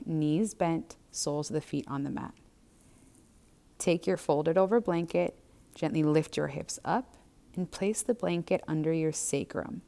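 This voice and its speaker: Low-voiced woman guiding gentle meditation. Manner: gentle, measured, soothing guidance. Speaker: yoga and meditation instructor. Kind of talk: guided breathwork and body scan instruction.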